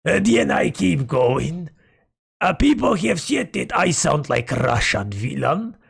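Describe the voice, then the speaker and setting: Gravelly, hoarse man rambling to chat. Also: on the high side, big pitch swings, slow pace. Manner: rambling, playful, mock-dramatic. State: hoarse, sore throat. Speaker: streamer. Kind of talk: casual livestream chat with viewers.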